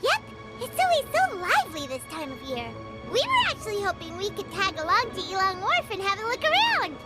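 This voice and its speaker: A woman's voice: extremely high pitch, wildly swooping pitch, brisk, heavily acted. A woman voicing a tiny, bubbly female fairy-like companion in a video game, who talks about herself in the third person. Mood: chirpy. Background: game music.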